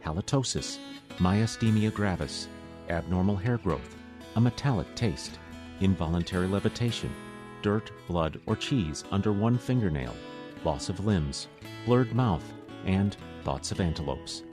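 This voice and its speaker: Man as deep deadpan drug-ad pitchman. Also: measured pace. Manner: deadpan, straight-faced listing. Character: deadpan male pitchman for an absurd medication. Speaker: announcer. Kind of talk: parody drug commercial. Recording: guitar music behind the voice. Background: plucked guitar music.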